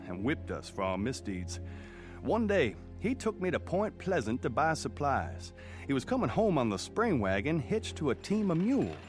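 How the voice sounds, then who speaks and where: An actor playing a plainspoken country man recalling his boyhood, narrating a radio drama with folksy speech. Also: on the low side. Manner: folksy storytelling.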